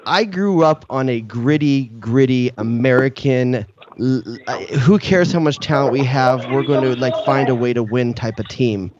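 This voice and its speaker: Male radio co-host, mid pitch, blunt and joking, slightly dull sound. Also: moderate pace, a little mellow. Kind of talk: sports talk radio.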